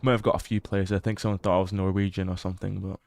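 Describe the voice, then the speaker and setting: A young British man livestreaming as he explores a game. He chats casually, swears freely and bursts into excited exclamations. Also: low-pitched, racing, gravelly, slight background noise.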